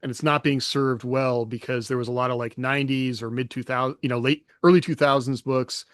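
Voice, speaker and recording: A man's voice: mid-range voice, fairly even intonation, fairly quick, dry recording, clean studio sound. A man co-hosting a writing podcast in casual chat, talking through ideas at length with many fillers and restarts. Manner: thoughtful, rambling with fillers. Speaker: podcast co-host.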